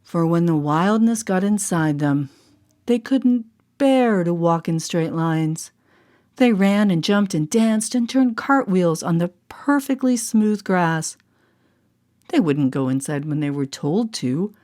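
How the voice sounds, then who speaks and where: A woman storyteller narrating a storybook with expressive, deliberate phrasing. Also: on the low side. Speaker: storyteller and voice artist. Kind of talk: scripted storybook narration for all ages. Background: music.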